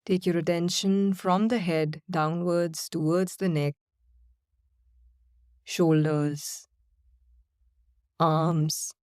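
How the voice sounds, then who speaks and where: Woman, meditation guide, very slow, calm, soothing, dry close sound. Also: on the low side, clean tone, no room sound. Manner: slow, calm, soothing instructions with long pauses.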